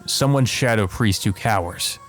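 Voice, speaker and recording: Low-voiced man reading a game aloud. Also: moderate pace. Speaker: let's play host. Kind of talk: reading visual novel narration aloud with casual asides. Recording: music playing behind the voice. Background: game music.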